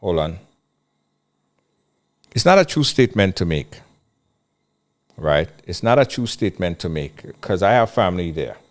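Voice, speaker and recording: A man's voice: low voice, big pitch swings, normal speed, long pauses. A man hosting a call-in radio show, talking emphatically and repeating his points. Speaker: radio talk show host.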